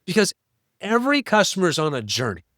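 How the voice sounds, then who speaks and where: Higher-pitched man with a lively, instructive delivery. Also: quick pace, no room sound, studio quality. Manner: instructive, rhetorical questions, emphatic lists. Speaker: presenter of marketing tips for business owners. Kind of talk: explainer talk to business owners.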